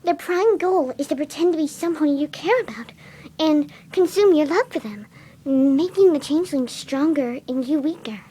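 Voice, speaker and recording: A woman plays a kind, timid cartoon pony mare in a fan-fiction reading. The voice is high, tender and hesitant, speaking softly with gentle care. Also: animated, normal speed. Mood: wary. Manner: soft-spoken, hesitant, tender.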